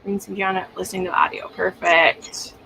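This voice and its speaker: A woman's voice: fairly low pitch, little pitch movement, moderate pace, creaky, pushed and bright, low-quality recording. Chatty woman hosting over background noise. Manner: gushing, teasing, slangy. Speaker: host of a romance readalong livestream. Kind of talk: casual group chat.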